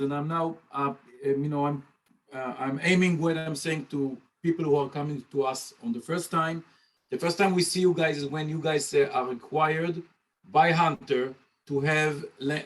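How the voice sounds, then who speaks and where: A man with a somewhat high voice speaks to students on a video call. He jokes and keeps the talk loose and conversational while he explains how the language courses work. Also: normal speed, poor audio. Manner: joking, conversational, talks straight to students. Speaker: Hebrew language instructor. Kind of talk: informal presentation on a video call.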